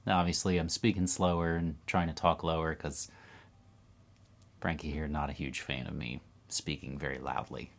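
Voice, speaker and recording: A man in his thirties hosting a casual news roundup to camera from a room at home, animated and talking with his hands. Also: extremely low pitch, moderate pace, vocal fry. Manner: animated, talks with his hands, upbeat asides. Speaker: podcast host. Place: home room with record shelves.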